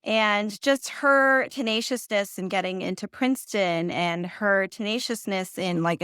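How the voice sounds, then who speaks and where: A woman in her forties from Central America, talking casually as an interview guest and telling animated stories. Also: medium pitch, clean tone, no echo, studio quality. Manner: animated storytelling, acts out quoted voices. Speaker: guest, company founder.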